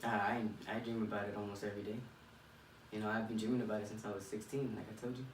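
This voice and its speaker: A man's voice: fairly low pitch, flat delivery, very fast. A young man from Miami, a professional boxer, chatting casually in an interview and thinking aloud with repeated phrases. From Miami, United States. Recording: echoey room, distant mic, faint noise.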